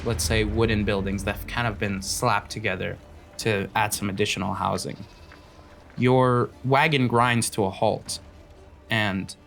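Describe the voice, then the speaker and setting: A man's voice: on the low side, vocal fry, fairly bright tone. A man narrating a tabletop fantasy game as the game master.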